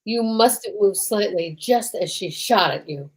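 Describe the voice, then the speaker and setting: A woman's voice: medium pitch, lively intonation, unhurried, natural voice. A woman playing a plain-spoken police detective and incredulous sidekick in an old-time radio mystery. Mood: questioning, matter-of-fact.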